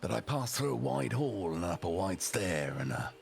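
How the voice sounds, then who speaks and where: Man voicing a composed young noblewoman, gravelly and deep. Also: sing-song, heavily acted. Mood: cold, measured. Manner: deliberate, dramatic, icy. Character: young noblewoman bride, composed, coldly defiant.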